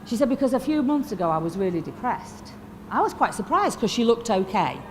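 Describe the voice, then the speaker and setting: Lively Yorkshire woman in her fifties on stage. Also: on the high side, a little fast, a little hiss or hum. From Lancashire, England. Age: 50s. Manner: lively, blunt and swearing, asks the crowd questions. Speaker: motivational speaker. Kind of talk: live motivational talk to an audience. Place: expo stage before a live audience.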